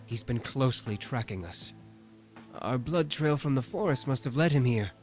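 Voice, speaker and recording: Man playing a quiet, serious, mid-pitched demon. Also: animated, normal speed, faint music behind, close to an everyday voice. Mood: grave. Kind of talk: visual novel voice acting.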